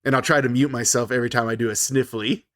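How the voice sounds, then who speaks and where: A congested man in a mid pitch banters on a podcast, cracking jokes and laughing easily. His voice sounds stuffy from a cold, recorded close and dry. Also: steady tone, fast talker, fairly bright tone, no room sound. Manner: joking, laughs often. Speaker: podcast co-host. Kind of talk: casual chat.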